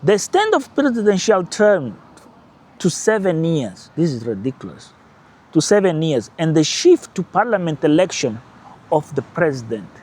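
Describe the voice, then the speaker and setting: A man whose first language is Portuguese speaks English with a Portuguese-speaking accent, and his voice sits a little high for a man. He hosts his own channel in an animated, emphatic way and drops short laughs into his points. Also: normal speed. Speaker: channel host. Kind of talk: casual political commentary.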